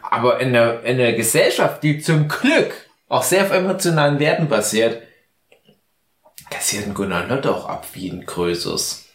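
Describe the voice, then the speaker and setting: A man's voice: medium pitch, very animated, moderate pace. Tipsy rambling man in an echoey room. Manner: rambling, mock-philosophical musing. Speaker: podcast co-host. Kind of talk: casual chat.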